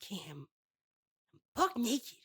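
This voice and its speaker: Man putting on a high-voiced, tiny simple-minded fisherman. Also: huge pitch swings, slow pace, sharp tone, breathy edge, heavily acted. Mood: naive. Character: very small, simple-minded middle-aged male fisherman, wounded and childlike. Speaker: interviewed character guest. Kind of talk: improvised comedy interview.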